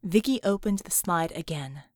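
A woman reading scripted fiction as an audiobook narrator, measured and dramatic in her storytelling. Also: on the low side, expressive, no echo, studio quality.

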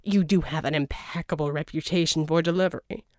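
A woman's voice: low-pitched, fairly even intonation, brisk, dry recording, studio quality, pitched below the performer's own voice, close to an everyday voice. A woman voicing a flamboyant, smug, scheming male villain in an audiobook, with a theatrical, wheedling manner. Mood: gleeful, coaxing. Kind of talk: audiobook character dialogue.

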